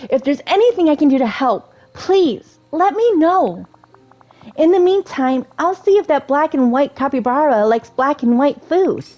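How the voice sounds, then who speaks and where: A woman's voice: sits high, expressive, normal speed, muffled recording, pitched above the performer's own voice. A woman voicing an eager, sweet, plucky young fairy-tale princess from a game, in an earnest singsong. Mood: eager, helpful.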